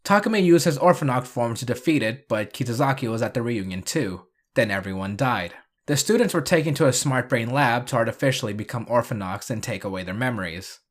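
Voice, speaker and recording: A man's voice: medium pitch, lively intonation, a little fast, few pauses. A man narrating a scripted video review and recap, with dry, sarcastic asides.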